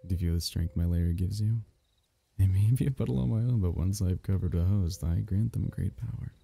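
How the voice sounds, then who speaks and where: A man voicing a lonely, doting alien symbiote in an intimate, soothing ASMR roleplay, coaxing its host with a low, gravelly tone. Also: a little fast, warm tone, no room sound, exaggerated voice. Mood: gentle coaxing.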